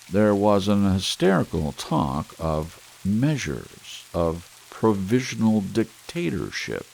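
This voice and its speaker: A man narrating a story as a sleep audiobook in a measured, dramatic literary style with deliberate pauses. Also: low-pitched, rough recording. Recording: clear steady rain hiss behind voice. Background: rain sounds.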